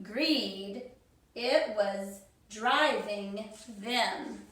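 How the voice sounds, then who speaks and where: A woman reading a rhyming children's story aloud with theatrical, deliberate phrasing. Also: medium pitch, fairly bright tone. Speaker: teacher reading a picture book to children. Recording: very echoey, reverberant room.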